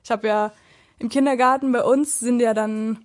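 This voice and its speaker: Woman, higher pitch, casual chat, lots of fillers. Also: steady tone, moderate pace. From Austria, border region with Czechia and Germany. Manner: chatty, thinks aloud with many fillers.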